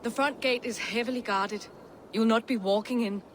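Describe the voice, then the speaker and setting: A woman's voice: fairly high pitch, steady tone, normal speed, slight background noise, natural voice. A woman playing a proud, guarded Danish noblewoman in a video game, terse and direct, with music behind her. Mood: firm.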